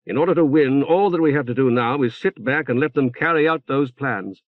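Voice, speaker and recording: Man reading as a smooth, sardonic councillor. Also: medium pitch, brisk, no room sound, narrow, tinny audio, pitched above the performer's own voice, natural voice. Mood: smooth, calculating. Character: smooth, sardonic, calculating male councillor Loris.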